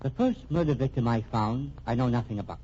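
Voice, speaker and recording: An actor playing an earnest accused man dictating a formal, deliberate statement in a vintage radio mystery, husky-voiced. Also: medium pitch, lively intonation, dark tone, lightly acted. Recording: muffled old radio recording.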